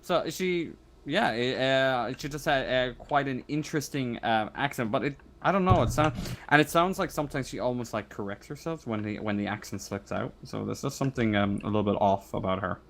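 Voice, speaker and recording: A man running a tabletop roleplaying game as game master, improvising narration in a halting way, searching for words. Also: medium pitch, creaky, a little hiss or hum.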